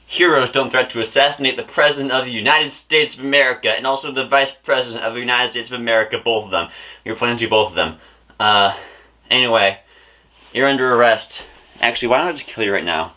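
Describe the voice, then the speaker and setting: Casting: man as stern cartoon arresting agent, gruff, dismissive, repeats himself, forceful tone. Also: medium pitch, fast, distant mic, voice pushed down, natural voice.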